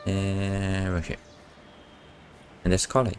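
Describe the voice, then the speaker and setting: A man with a low voice comments casually on a game he is streaming. His reactions are short and offhand, with faint music in the background. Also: monotone, slow pace. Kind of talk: casual live playthrough commentary.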